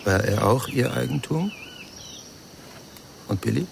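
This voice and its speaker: An actor playing a cynical yet gentle man with a gravelly voice and a blunt, probing manner in a German radio crime drama. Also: medium pitch, animated, unhurried, a little hiss or hum. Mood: probing, softer.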